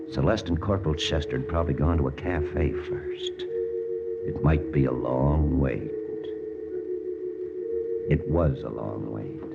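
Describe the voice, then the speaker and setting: A man's voice: a bass voice, vocal fry, exaggerated voice. A man playing a tense American intelligence lieutenant, narrating his mission tersely in an old radio drama. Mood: grim suspense. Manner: terse, hard-boiled, deliberate. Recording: muffled old radio recording with music.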